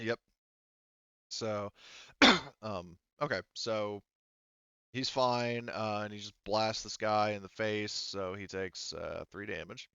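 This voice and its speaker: A man with a low, smooth voice works through a tabletop game as its game master. He thinks aloud casually and swears freely. The sound is close and dry, slightly dull and lo-fi. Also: slow pace, pushed and bright, no echo. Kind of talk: narrating a tabletop roleplaying game.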